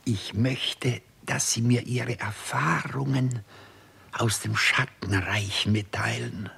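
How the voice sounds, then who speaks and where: An actor playing an obsessed, brooding scientist in a 1960s German radio crime drama, speaking in a husky, fervent, halting, theatrical way. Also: male voice, medium pitch, steady tone, a bit bright, exaggerated voice. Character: obsessed male scientist fascinated by raising the dead.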